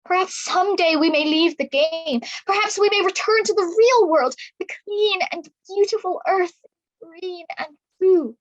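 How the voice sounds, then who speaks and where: A woman's voice: very high voice, a little slow, lo-fi sound. A woman playing an anguished, defiant young female gamer in staged reader's theatre, earnest and pleading. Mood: desperate pleading.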